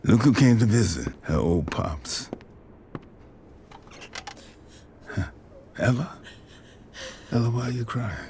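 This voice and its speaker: A caring father in an audio drama, with a fairly low voice. He speaks softly and haltingly, consoling his grieving daughter, with some noise around him. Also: expressive. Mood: tender concern.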